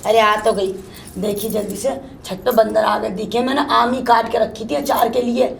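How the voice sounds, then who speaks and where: A woman's voice: flat delivery, normal speed, creaky, slight background noise. A woman playing a nagging old mother-in-law. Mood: scolding. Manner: shrill, cursing, complaining. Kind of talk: comic one-woman sketch.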